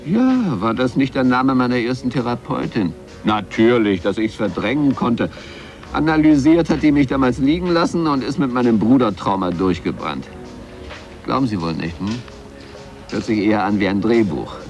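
An actor in a radio drama playing a flirtatious, evasive comedian who rambles with playful irony. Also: male voice, mid-range voice, animated, moderate pace, darkish tone, close to an everyday voice. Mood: teasing, evasive. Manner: playful, rambling, ironic, stop-and-start hesitations. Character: glib male comedian, flirtatious, wisecracking, evasive under questioning. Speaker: comedian being interviewed. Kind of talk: 1980s German radio drama acting. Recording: music behind the voice.

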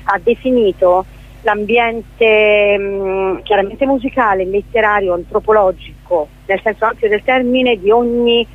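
A woman's voice: medium pitch, measured pace. A woman guest speaks over a thin, band-limited telephone connection. She explains her work off the cuff in a radio interview, often backing up to correct herself. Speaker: theatre director, interview guest. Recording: narrow, tinny telephone line.

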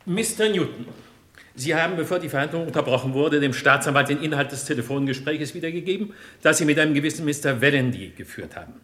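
An actor playing a resolute male defence lawyer, formal and deliberate, in a classic crime radio play. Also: a little high, lively intonation, pushed and bright. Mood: probing, formal. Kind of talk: classic German crime radio drama acting.

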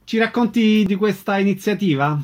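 A man's voice: sits high, normal speed. A man hosting a radio interview, recapping and putting questions to guests.

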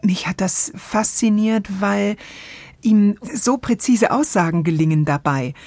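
A woman co-hosting a conversational radio discussion, speaking haltingly as she searches for words. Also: medium pitch, a little mellow, no room sound. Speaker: podcast co-host discussing radio drama.

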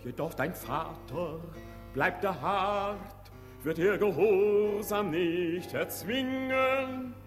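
Slow, ardent young lover, formally courteous. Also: male voice, sits high, faint music behind. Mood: yearning, impassioned. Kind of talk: German radio play acting of classic stage comedy.

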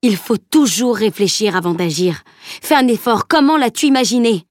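A woman's voice: fairly high pitch, animated, normal speed, no echo, clean studio sound, pitched above the performer's own voice, lightly acted. A woman reading as a stern, grumbling old male teacher who lectures severely. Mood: lecturing.

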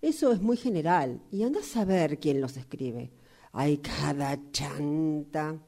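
A woman's voice: deep voice, animated, takes it slowly, dark tone, full character voice. A woman acting in a radio drama as an indulgent, evasive fortune-teller with a Rioplatense Argentine accent, agreeing soothingly and repeating her words. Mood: knowing, evasive. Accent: Rioplatense Argentine Spanish.

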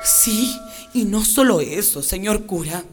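An actress playing a prim, sanctimonious mother who speaks in a scandalized, halting way in a radio drama. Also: mid-range voice, expressive, music in the background, lightly acted. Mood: alarmed, scandalized.

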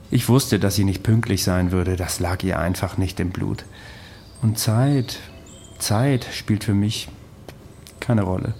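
A man's voice: lowish voice, dark tone, slight background noise. An actor playing a grave, regretful man telling his story intimately in a German radio drama. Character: grave, regretful man recounting a past tragedy.

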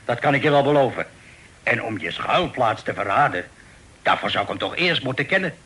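A captive sea captain in a radio play, with a husky, bright voice. He is pious and stubborn, speaking in a folksy, wry storyteller's manner. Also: medium pitch, lively intonation, a little fast. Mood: firm, bargaining. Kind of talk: Dutch radio drama acting.

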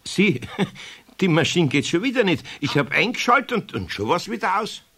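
An actor playing a grumpy, easily flustered older craftsman with a Bavarian accent and a gravelly voice, muttering and scolding in a children's radio play. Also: high voice, very animated, moderate pace, pushed and bright, exaggerated voice. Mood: flustered, apologetic. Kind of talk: 1970s German children's radio play acting. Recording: faintly noisy 1970s radio play tape.

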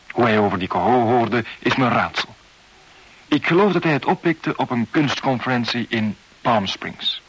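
A man's voice: fairly low pitch, a bit bright, husky, rough recording. An actor playing a frank, wry New York businessman, narrating in the first person in a radio play. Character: frank New York art dealer's son recounting his holiday.

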